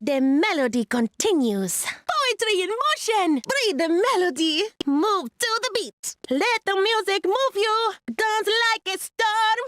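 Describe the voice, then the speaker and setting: Dreamy music-loving game girl, serene and poetic, super high voice, dry close sound. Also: expressive, dry recording, full character voice. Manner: lyrical, unhurried, gently theatrical. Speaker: video game voice actor. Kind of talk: game character voice lines.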